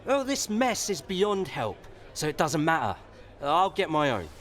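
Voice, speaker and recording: A man's voice: a little high, sing-song, measured pace, fairly bright tone. Tipsy young man, swinging intonation. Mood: sulky, drunk. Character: tipsy, sulky, wounded young son. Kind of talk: radio soap acting.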